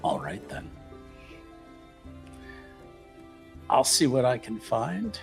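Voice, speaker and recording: A man's voice: medium pitch, wildly swooping pitch, normal speed, gravelly, faint music behind, pitched above the performer's own voice. A man playing a discreet, shrewd investigator who speaks in a hesitant, musing, formal way. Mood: measured.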